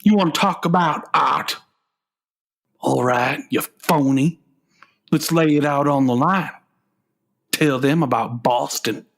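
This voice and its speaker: A man playing an anguished adult son in a comic radio play, speaking haltingly and then with accusation. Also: a little high, gravelly, fairly bright tone, exaggerated voice. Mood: bitter, accusing. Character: anguished adult son, failed jazz player turned country singer.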